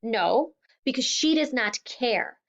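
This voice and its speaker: A woman's voice: high voice, normal speed, lo-fi sound, pitched above the performer's own voice, lightly acted. A woman voicing a proud, strict Guatemalan father in an audiobook, her voice raised and insistent. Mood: angry. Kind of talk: audiobook reading.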